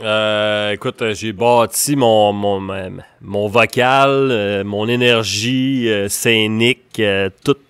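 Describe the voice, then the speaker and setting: A man's voice: lowish voice, fairly even intonation, slow, hardly any pauses, fairly bright tone, clean tone, no room sound. A man from Ontario with a Franco-Ontarian French accent, a guest musician chatting casually and rambling with many restarts. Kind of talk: casual conversation.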